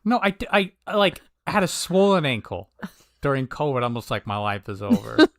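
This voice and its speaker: A man's voice: mid-range voice, animated, fairly quick, dry recording, clean studio sound. A man co-hosting a talk show, chatting in an easygoing, joking and colloquial way. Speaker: sumo talk show co-host. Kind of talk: casual chat.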